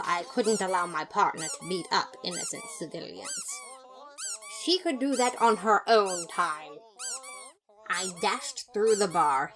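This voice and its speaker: Animated woman narrating a story. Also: medium pitch, slight background noise, poor audio. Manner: dramatic, expressive. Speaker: podfic narrator. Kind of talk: scripted first-person story narration.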